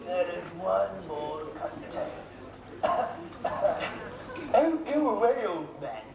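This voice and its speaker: A man's voice: sits high, big pitch swings, drawn-out words, creaky, background noise, heavily acted. A man playing a scheming, boastful wicked-uncle villain in an amateur stage pantomime, recorded from far off in a theatre. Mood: mocking. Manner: mocking, boastful, wheedling. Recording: roomy theatre sound from far off.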